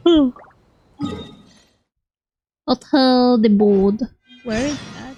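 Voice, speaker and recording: A hesitant, thoughtful companion from a video game. The voice is fairly high and very slow, in short musing phrases. Faint music plays behind. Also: female voice, mellow. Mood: pondering. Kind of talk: video game character dialogue.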